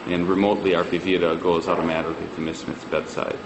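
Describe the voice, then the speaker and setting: Low monotone man demonstrating a product, gravelly. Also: fast. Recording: clear room hum and ambient noise.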